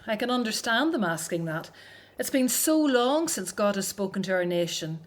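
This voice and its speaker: A woman's voice: medium pitch, sing-song, normal speed. An actress playing a devout, caring Hebrew woman, a prophet's older sister, in a radio drama. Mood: thoughtful, admiring.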